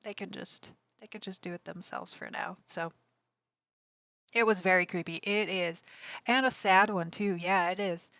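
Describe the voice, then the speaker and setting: A woman streamer with a slightly raspy voice, chatting casually and answering her viewers. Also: on the low side, steady tone, moderate pace, a bit bright, no echo. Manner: rambling, chatty, answers chat messages aloud. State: allergies. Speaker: game streamer.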